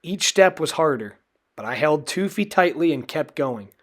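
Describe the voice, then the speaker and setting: A man reading a children's storybook aloud in an expressive, dramatic way. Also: fairly high pitch, moderate pace. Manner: expressive, dramatic delivery with acted character voices. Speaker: storybook reader.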